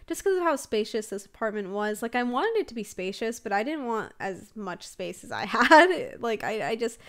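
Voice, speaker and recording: A young woman narrating a casual voiceover over a game build, rambling and giggling between thoughts, her voice a little stuffy from allergies. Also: mid-range voice. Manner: rambling, chatty, giggles often, many fillers. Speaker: Sims builder and streamer.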